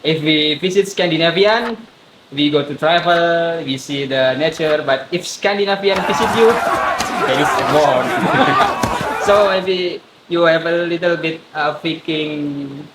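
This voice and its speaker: Podcast host guy, kinda high voice, jokey questions, echoey room with hiss. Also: unhurried. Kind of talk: casual podcast interview.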